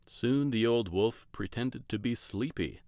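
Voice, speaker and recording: A man's voice: medium pitch, measured pace, darkish tone, dry recording. A man reading a children's story aloud as a scripted narration.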